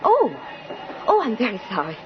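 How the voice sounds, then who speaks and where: High-voiced, brisk, harried woman organizer. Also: huge pitch swings. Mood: pleading, relieved. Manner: bossy yet polite, emphatic. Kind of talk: 1950s radio acting. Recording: muffled old radio recording, faint noise.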